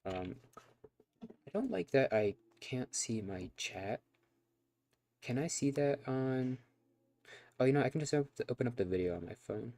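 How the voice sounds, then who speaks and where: A man's voice: medium pitch, sing-song, a little slow, long pauses, dark tone. A man with a nasal, stuffy voice, rambling and self-conscious as he chats through a live game stream. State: stuffy nose from allergies.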